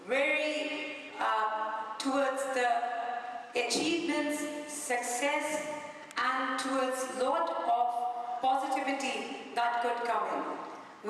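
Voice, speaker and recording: A middle-aged woman from India giving an inspirational talk on stage, speaking in measured phrases with pauses for effect. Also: a little high, a bit bright, a little hiss or hum. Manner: slow, deliberate, with pauses for effect. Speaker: invited speaker. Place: large hall. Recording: echoey hall, far from the mic.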